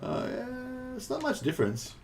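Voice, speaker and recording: An Australian man chatting casually on a live game stream, rambling and halting, with some swearing. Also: a little high, huge pitch swings, vocal fry, a little hiss or hum. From New South Wales, Australia. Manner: rambling, halting, foul-mouthed. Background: faint game music.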